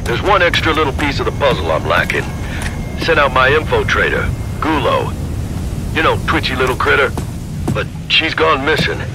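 An actor playing a folksy, easygoing tinkerer ally in a video game, giving casual, clipped briefings. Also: male voice, medium pitch, sing-song, moderate pace, noisy background. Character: folksy, laid-back male tinkerer ally, gruffly friendly. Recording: music behind.

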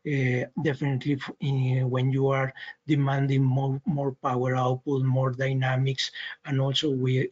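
A man giving an unscripted webinar explanation in halting, non-native English, often repeating words as he searches for phrasing. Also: mid-range voice, dull audio. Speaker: loudspeaker brand representative presenting.

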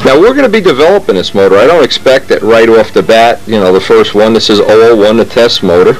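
A man's voice: fairly low pitch, lively intonation, fast, faint music behind, low-quality recording. Rambling hobbyist man in his workshop. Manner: rambling, enthusiastic, stops to restart sentences. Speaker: model airplane builder and channel host. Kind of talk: casual workshop narration. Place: home workshop.

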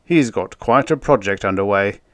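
A man's voice: fairly low pitch, lively intonation, normal speed, fairly bright tone, no room sound. A man voicing an earnest, well-meaning spaceman who is insistent and plain-spoken, in a story reading.

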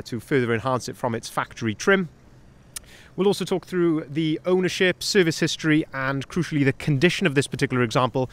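Man, young, van sales manager, upbeat walkaround pitch, outdoor lot, handheld camera. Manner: upbeat, detailed, pointing things out as he walks. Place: outdoor car lot on a cold day. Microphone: handheld camera, first-person view.

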